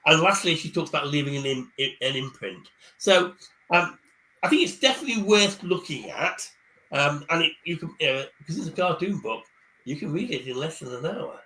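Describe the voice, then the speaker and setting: Bright, halting man on a call. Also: on the high side, expressive, low-quality recording. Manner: hesitant, repeats words, then speeds up. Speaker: career coach guest speaker. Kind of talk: casual chat on a live online panel. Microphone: video call.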